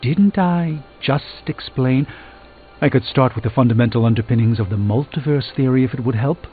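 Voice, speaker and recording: An actor playing a pompous, learned sorcerer in a video game, speaking in a grandiose, lecturing way. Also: male voice, mid-range voice, normal speed, natural voice. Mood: lofty, patient. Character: pompous, theatrical, learned male sorcerer and mystic. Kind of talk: theatrical video game dialogue. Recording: thin phone-like band, music behind.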